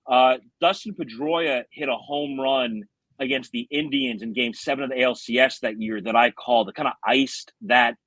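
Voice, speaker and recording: A man's voice: medium pitch, moderate pace, a bit bright, dry recording, low-quality recording. A middle-aged American man, a former baseball radio announcer, telling stories conversationally as a podcast guest. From South Florida, United States. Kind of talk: conversational storytelling.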